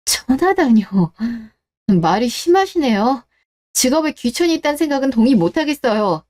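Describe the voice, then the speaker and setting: Woman doing young wife in radio drama, highish voice, polite but won't back down. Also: sing-song, normal speed, a little mellow. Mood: indignant, firm. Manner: polite but firm, protesting. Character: indignant, caring young wife standing up for herself. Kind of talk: scripted Korean online radio drama.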